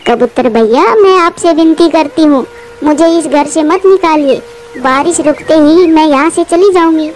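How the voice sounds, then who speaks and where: Woman voicing a high-pitched, pleading mother bird. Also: normal speed, a little mellow, natural voice. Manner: pleading, tender.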